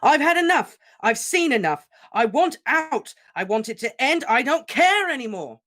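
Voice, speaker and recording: Teenage boy wizard played by an audiobook reader, raging, yelling, high and loud. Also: expressive, moderate pace, lightly acted. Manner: shouting, shaking with rage. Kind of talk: audiobook character reading.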